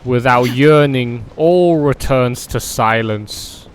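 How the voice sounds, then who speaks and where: A man's voice: mid-range voice, takes it slowly, a little hiss or hum. A man doing casual live game commentary in a joking, teasing way. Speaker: live streamer.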